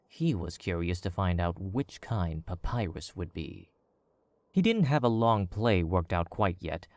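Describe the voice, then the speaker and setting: A man with a very low voice narrates a story in a measured, even way. The sound is close and dry, with no room around it. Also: dry recording. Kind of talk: scripted audiobook narration.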